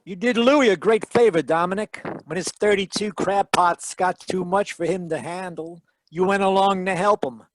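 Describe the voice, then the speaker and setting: An actor playing a wisecracking hard-boiled private detective in a 1940s radio style, with a wry, glib manner. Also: male voice, sits high, moderate pace, hardly any pauses, dry recording, lightly acted, rough recording. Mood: mock friendly.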